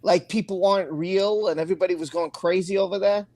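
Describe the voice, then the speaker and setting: Chatty male live-stream host, greets viewers warmly by name, dry close recording. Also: high voice, fairly even intonation, quick pace, no room sound. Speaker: livestream host. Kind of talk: casual live-stream chat.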